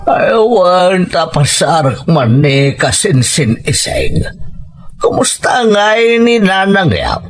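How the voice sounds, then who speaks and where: A man playing a nosy, gossiping uncle in a radio drama, insinuating with drawn-out interjections. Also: high-pitched, sing-song, slight background noise, heavily acted. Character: nosy, gossiping uncle who sows doubt. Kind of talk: Ilocano radio drama acting.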